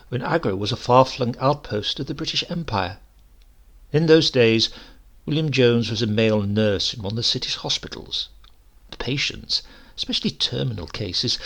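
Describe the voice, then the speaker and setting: A man with a husky voice reading a literary story in a measured, wry way. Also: medium pitch. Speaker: story narrator. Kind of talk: scripted literary narration.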